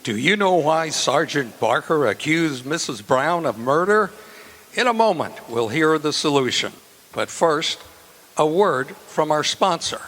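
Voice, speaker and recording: A male announcer with a slightly high voice delivers scripted old-time radio copy. He narrates mystery and sponsor lines in a presentational style. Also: lively intonation, unhurried, over loud music.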